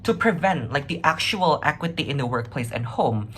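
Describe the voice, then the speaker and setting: Female debater, low register, earnest and conversational argumentation, faint noise behind the voice. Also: animated, moderate pace, a bit bright. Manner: earnest, conversational, frequent 'right?' and 'like' tags. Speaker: competitive debater, first affirmative speaker. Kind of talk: argumentative debate speech, semi-improvised.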